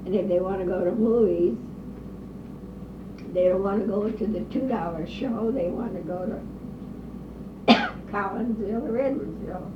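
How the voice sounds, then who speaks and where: An older woman being interviewed, slowly reminiscing about her old neighborhood with pauses, hesitations and the odd cough. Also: medium pitch. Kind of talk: oral history interview. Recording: distant roomy lo-fi recording with noise.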